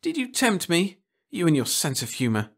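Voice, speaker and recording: A man's voice: on the high side, huge pitch swings, moderate pace, breathy edge, voice pushed up, heavily acted. A man voicing an irritable, humourless alien shapeshifter, complaining savagely in a story. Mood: irritated.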